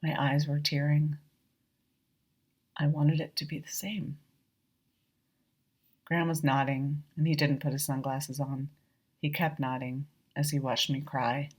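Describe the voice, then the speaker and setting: Low-voiced woman reading fiction slowly. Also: flat delivery. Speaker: author reading own short story. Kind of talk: scripted literary narration.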